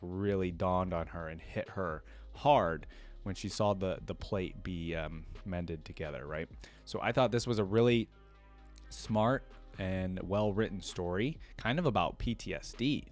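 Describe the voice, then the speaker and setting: A man's voice: low voice, moderate pace, faint music behind. A man hosting a reaction video, commenting casually and thinking aloud, often checking with a tagged-on question.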